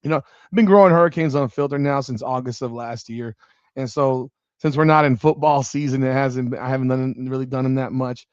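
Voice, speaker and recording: A man in his forties hosting a casual sports podcast, animated and swearing freely as he rambles like a fan. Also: mid-range voice, fairly quick, smooth, no room sound. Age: 40s. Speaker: podcast host. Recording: dull dry lo-fi sound.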